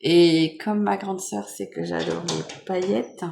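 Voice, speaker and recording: A French woman with a cold, hoarse and nasal, chatting softly and rambling with many fillers as she unboxes gifts at home. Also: on the low side, sing-song, takes it slowly, slight background noise. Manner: soft-spoken, meandering with many fillers. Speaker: vlogger. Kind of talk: casual chat unboxing makeup gifts.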